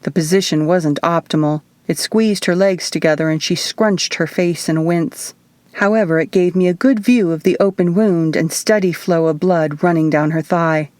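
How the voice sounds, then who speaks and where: Female audiobook narrator, fairly low register, scripted first-person storytelling, dry booth-like sound. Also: moderate pace, darkish tone, no room sound. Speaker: author reading own audiobook.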